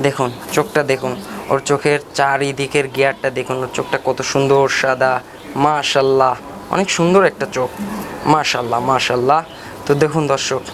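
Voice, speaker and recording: A man with a Bangladeshi accent hosting an informal farm video, often repeating himself as he addresses his viewers. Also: a little high. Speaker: pigeon keeper and farm channel host. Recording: noisy outdoor ambience with birdsong. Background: birds and ambient noise.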